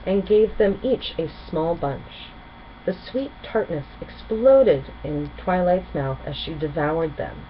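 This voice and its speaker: Lower-pitched woman reading a children's story. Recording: muffled, with clear background noise.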